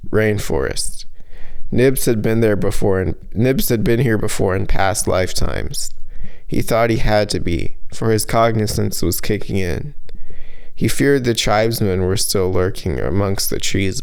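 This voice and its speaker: Slow, low-voiced man narrating fantasy. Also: creaky, darkish tone, smooth, poor audio. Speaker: author reading own audiobook. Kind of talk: scripted fantasy audiobook narration.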